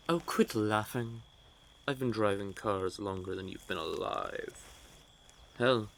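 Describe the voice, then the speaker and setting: Slow, teasing low-voiced vampire played by a man. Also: creaky, slight background noise. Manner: teasing, drawling, sardonic. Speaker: voice actor in an audio roleplay. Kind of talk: intimate scripted audio roleplay addressed to the listener. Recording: added ambient sound effects behind voice.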